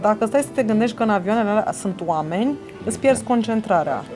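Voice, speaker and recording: A woman's voice: medium pitch, expressive. A Romanian woman air traffic controller speaking in a documentary interview. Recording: voice under loud music. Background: music.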